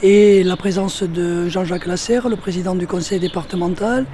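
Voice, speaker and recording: A man giving formal, measured interview remarks as a local elected official. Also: sits high, steady tone, warm tone, slight background noise.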